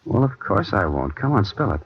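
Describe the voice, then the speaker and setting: An actor playing an earnest, wary young ex-convict in an old-time radio drama, plain-spoken and slangy. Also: male voice, fairly low pitch, very animated, a little fast, breathy edge, full character voice. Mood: concerned. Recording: dull vintage radio drama recording, music.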